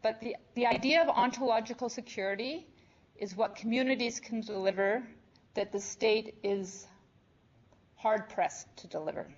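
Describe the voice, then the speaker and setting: A woman giving a measured, earnest conference presentation with some fillers. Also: on the high side, highs cut off. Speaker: conference panelist.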